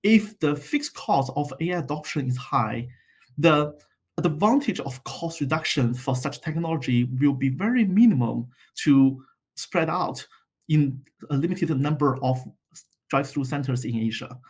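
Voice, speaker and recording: Husky-voiced man giving expert analysis. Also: on the high side, animated, measured pace, darkish tone. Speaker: expert guest. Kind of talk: interview answer.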